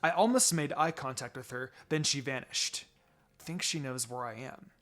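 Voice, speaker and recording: A man reading a scripted story aloud in an expressive, dramatic narrating style. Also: mid-range voice, moderate pace. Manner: expressive, voices the dialogue. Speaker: fan fiction reader. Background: background music.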